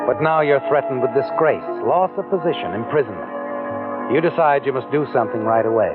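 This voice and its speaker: Male narrator, lively insinuating delivery, tinny old radio sound. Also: medium pitch, fairly quick, over loud music. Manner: insinuating, teasing questions to the listener. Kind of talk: second-person radio drama narration.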